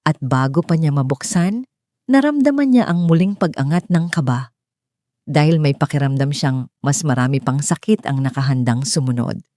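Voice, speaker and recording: Mellow, lowish-voiced woman narrating a drama. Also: dry recording, studio quality. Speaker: story narrator.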